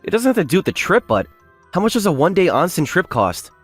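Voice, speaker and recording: Give me a man acting an earnest, animated young anime guy. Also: sits high, quick pace, slightly rough, soft background music, full character voice. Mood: polite. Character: earnest young male factory worker, polite but indignant when wronged. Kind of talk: anime-style manga dub acting with first-person narration.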